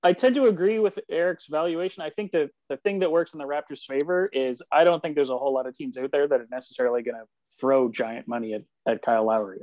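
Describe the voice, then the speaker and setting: A man's voice: mid-range voice, dry recording. A man giving conversational sports analysis as a guest, on lo-fi video-call audio. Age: 40s. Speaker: sports columnist, podcast guest. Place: room at home on video call.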